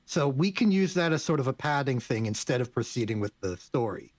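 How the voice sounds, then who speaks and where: A man's voice: medium pitch, expressive, brisk, a little mellow, no echo. A man co-hosting a game stream, chatting casually and giving advice in a hesitant way that repeats words. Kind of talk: casual chat, advising a player.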